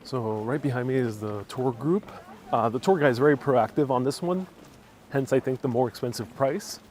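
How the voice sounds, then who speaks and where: A man's voice: medium pitch, moderate pace, a little mellow. A man hosting a casual, conversational travel livestream outdoors, talking in a slightly hesitant, informal way. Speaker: travel vlogger, livestream host. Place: outdoors on a castle tour. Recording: faint outdoor background noise.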